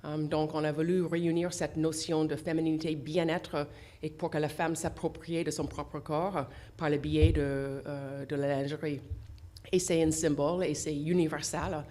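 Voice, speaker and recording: Radio guest: middle-aged woman, English accent, very low voice, hesitant, lots of fillers. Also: flat delivery, warm tone. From English-speaking country. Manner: halting, many fillers and restarts. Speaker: association founder, guest. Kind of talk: radio interview. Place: radio studio.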